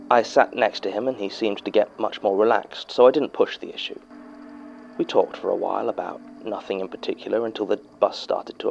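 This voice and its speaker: An actor with a British accent playing an archivist who reads a witness's unsettling statement aloud in a measured, confiding horror-drama narration. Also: male voice, lowish voice, vocal fry, a little mellow, lo-fi sound. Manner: measured, confiding, with scripted hesitations.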